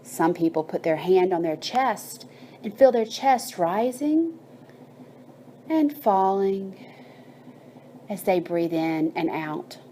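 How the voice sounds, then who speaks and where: A woman guides a bedtime story and meditation in a mellow, mid-pitched voice. She takes her time, stretching phrases out in a calming, measured way. There is some steady noise behind her. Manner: soothing, measured, with drawn-out phrases.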